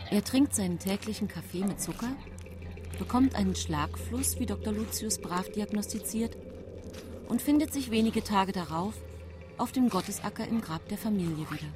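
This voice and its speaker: An actress playing a secretive nineteenth-century woman poisoner who tells her own story in hushed, composed radio drama narration. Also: medium pitch, natural voice. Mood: cool, hushed. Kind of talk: intimate first-person radio drama narration.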